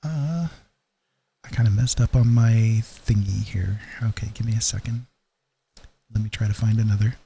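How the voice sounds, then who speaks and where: A man's voice: on the low side, normal speed, creaky, long pauses, warm tone, a little hiss or hum. A man hosting a casual livestream, rambling and hesitant as he chats to viewers. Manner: rambling, hesitant, chuckling asides. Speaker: livestream host.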